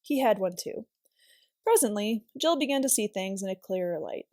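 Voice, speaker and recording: A woman's voice: mid-range voice, animated, normal speed, a little mellow, no room sound, clean studio sound. A woman reading aloud as a volunteer storyteller, narrating a children's novel expressively.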